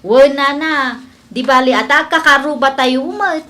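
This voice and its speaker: A woman's voice: high voice, moderate pace, a bit bright. A woman playing a kindly, thrifty village wife in an Ilocano comedy radio drama, with an Ilocano Filipino accent. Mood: generous, cheerful.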